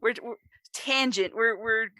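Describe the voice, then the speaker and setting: Bright-voiced American woman chatting casually. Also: fairly high pitch, measured pace, dry recording, studio quality. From Utah, United States. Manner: chatty, rambling with tangents. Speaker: podcast co-host. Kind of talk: casual chat.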